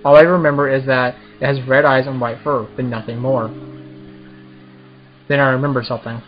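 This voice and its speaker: A man's voice: medium pitch, fairly even intonation, a little fast, muffled recording. A man doing a casual let's play, reading visual novel text aloud and commenting as the host of a gaming video.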